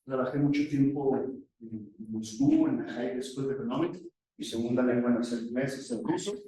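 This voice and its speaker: A man's voice: mid-range voice, animated, moderate pace, dark tone. A male academic economist giving an explanatory conference presentation, posing questions and answering them himself. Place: echoey conference session room. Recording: very echoey room, distant microphone.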